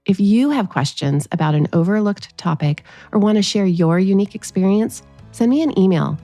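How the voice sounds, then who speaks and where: A woman's voice: mid-range voice, lively intonation, moderate pace, warm tone, dry recording, faint music behind. A woman hosting a podcast as a pediatrician, delivering scripted narration addressed to parents.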